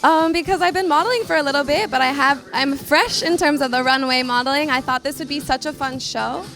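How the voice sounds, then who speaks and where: Easygoing high-voiced woman from New York. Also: brisk, a bit bright, soft background music. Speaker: model and musician. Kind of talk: casual interview answers.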